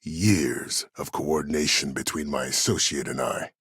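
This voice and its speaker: An older military mastermind for a video game, with an extremely low, husky voice. He speaks formally and with measured, silky politeness that carries quiet menace. The sound is dry and close. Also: no echo, full character voice. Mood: measured, ominous. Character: calculating, courteous, menacing older male military schemer. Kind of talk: dramatic video game voice acting.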